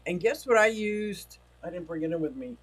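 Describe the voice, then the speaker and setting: A man hosts a relaxed livestream from home, rambling between topics and reading out messages from his chat. His voice sits a little high for a man and he feels it starting to give out. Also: normal speed. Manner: rambling, chatty, reads chat messages aloud. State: losing his voice. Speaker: livestream host. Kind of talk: casual livestream chat reading viewer comments.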